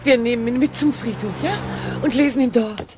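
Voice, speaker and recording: An actress in a German radio crime play playing a kindly, practical widow, chatty and matter-of-fact. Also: female voice, fairly high pitch, brisk, over music, natural voice. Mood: chatty, reassuring.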